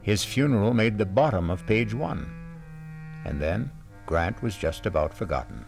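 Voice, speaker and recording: A man narrating a 1970s radio mystery drama in a measured, dramatic storytelling manner. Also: lowish voice, big pitch swings, creaky, music in the background. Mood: grave. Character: male mystery narrator, grave, ominous.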